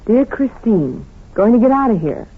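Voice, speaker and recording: A woman's voice: fairly high pitch, big pitch swings, normal speed, breathy edge, full character voice. An actress playing a flirtatious, curious female sidekick to a detective, in 1940s radio drama style. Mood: puzzled, curious. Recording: tinny vintage radio broadcast.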